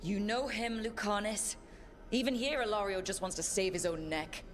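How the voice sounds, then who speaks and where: An actress playing a firm, loyal heroine in a video game, speaking earnestly and reassuringly. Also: female voice, medium pitch, normal speed, faint music behind, lightly acted. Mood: insistent. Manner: earnest, determined.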